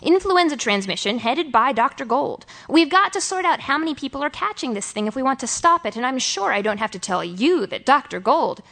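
A woman's voice: sits high, big pitch swings, brisk, gravelly, few pauses, a bit bright, pitched above the performer's own voice, heavily acted. A woman voicing a flustered, wordy male office interviewer in an audiobook, with a fussy, formal and politely hesitant manner. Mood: harried, explanatory. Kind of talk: audiobook character dialogue.

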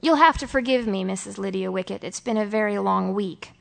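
A woman's voice: mid-range voice, brisk, creaky, fairly bright tone. A woman voicing a flustered, wordy male office interviewer in an audiobook, with a fussy, formal and politely hesitant manner. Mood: harried, explanatory. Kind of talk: audiobook character dialogue.

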